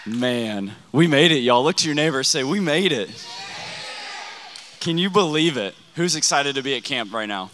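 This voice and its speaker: Hyped young pastor in a reverberant hall. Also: male voice, medium pitch, expressive, normal speed, noisy background. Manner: hyped, calls for crowd responses. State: losing his voice. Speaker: youth camp pastor. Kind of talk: energetic youth sermon.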